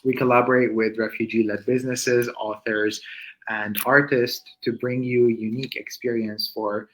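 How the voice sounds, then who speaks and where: A man's voice: medium pitch, level tone, moderate pace, rough recording. A man hosting an online interview over a video call, polite and hesitant with many restarts. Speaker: host.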